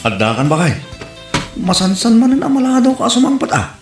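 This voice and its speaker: An actor playing a jealous young husband in an audio drama, questioning and grumbling. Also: male voice, high voice, huge pitch swings, fairly quick, over music. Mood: suspicious. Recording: echoey room recording.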